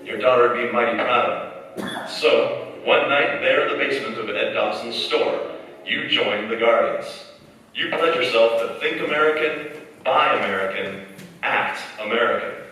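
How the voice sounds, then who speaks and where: A man's voice: mid-range voice, a little slow, bright, forceful tone. A man playing a probing second-person narrator, like an inner conscience, in a staged 1940s-style radio drama. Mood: probing, dramatic. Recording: echoey hall, far mic, faint noise.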